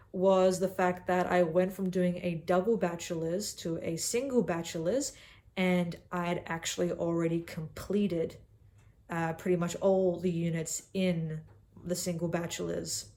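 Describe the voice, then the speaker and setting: Young Aussie woman, slightly low voice, cocky self-deprecating vlog delivery. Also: dark tone. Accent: Australian. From Australia. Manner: brash and self-mocking, calls the viewer sweetheart. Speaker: vlogger. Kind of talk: casual talk to camera. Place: cabin.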